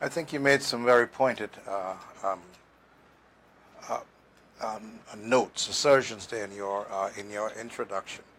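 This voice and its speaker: A man's voice: lowish voice, a little slow, fairly bright tone, a little hiss or hum. A man, a guest historian explaining at length in a conversational interview, emphatic, with fillers and restarts. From Turks and Caicos Islands.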